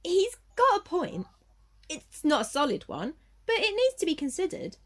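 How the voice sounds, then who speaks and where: A woman's voice: extremely high pitch, huge pitch swings, normal speed, a bit bright, exaggerated voice. A woman acting in an amateur radio play as a fair-minded, practical person who stands up for others and reasons things out. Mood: questioning, practical.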